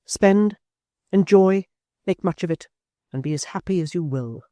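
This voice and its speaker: Man doing a cold proud young woman, low steady voice, mellow tone, dry close sound. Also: a little slow, dry recording. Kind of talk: audiobook character voice.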